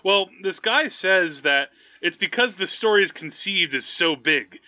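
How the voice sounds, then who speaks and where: A man co-hosting a podcast, chatting casually and jokily about movies, quick to laugh. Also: sits high, animated, bright, forceful tone, lo-fi sound. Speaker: podcast co-host.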